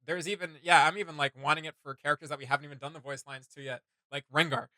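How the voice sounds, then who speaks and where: Bright-voiced man, rambling and enthusiastic. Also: a little high, steady tone, quick pace, no echo. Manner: enthusiastic, rambling, thinking aloud. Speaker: reaction show host. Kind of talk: casual chat.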